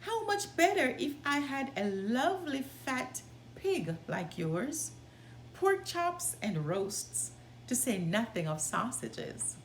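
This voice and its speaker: Storybook young man, acted by a woman: eager, wide-eyed, high and unhurried, some noise behind. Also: big pitch swings, exaggerated voice. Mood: eager, wondering. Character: Hans, cheerful, naive, eager young man. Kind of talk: read-aloud storybook character voice. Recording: roomy, with clear background noise.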